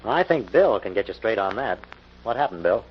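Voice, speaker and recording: An actor playing a calm, confident detective, explaining things articulately in 1940s radio mystery style. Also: male voice, fairly low pitch, brisk, close to an everyday voice. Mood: attentive, friendly. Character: Jim, calm confident male detective explaining a foiled crime. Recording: dull, noisy old-time radio recording.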